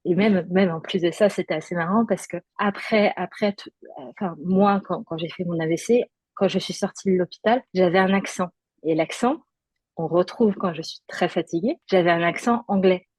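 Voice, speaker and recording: A woman's voice: on the low side, normal speed, darkish tone, husky, no echo. A woman guest giving a personal testimony in conversation, hesitant, with many fillers and restarts.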